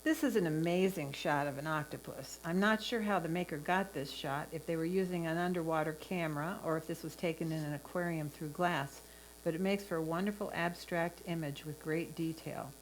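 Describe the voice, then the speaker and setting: A woman judging photographs, giving a prepared critique in a measured, instructive, encouraging way. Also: low-pitched, low-quality recording. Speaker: photo competition judge. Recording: clear mains hum.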